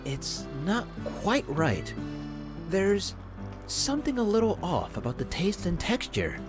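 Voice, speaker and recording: Male cooking teacher for a story game: courteous, measured, very swooping delivery over music. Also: high voice, wildly swooping pitch, heavily acted. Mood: thoughtful, doubtful. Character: male cooking class instructor, polite and measured. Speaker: cooking instructor. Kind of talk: acted visual novel dialogue.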